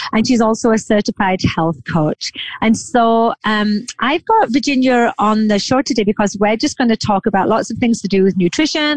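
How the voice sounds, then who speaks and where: A woman's voice: fairly high pitch, dry recording, rough recording. A woman hosting a podcast interview, chatting casually with frequent ums. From England. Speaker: podcast host.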